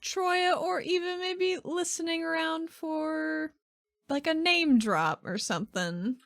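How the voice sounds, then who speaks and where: A woman's voice: extremely high pitch, slow pace, vocal fry, fairly bright tone, no echo, clean studio sound. A woman chatting casually as a player in a tabletop game, describing what a character does.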